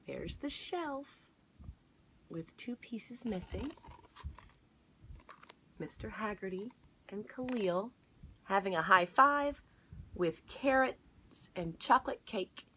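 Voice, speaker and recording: A middle-aged woman hosting a children's storytime, reading a picture book aloud and pausing to point out details for young viewers. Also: medium pitch, lively intonation, sharp tone, a little hiss or hum, rough recording. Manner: explanatory, inviting listeners to look closely and notice details. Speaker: children's storytime host at a museum.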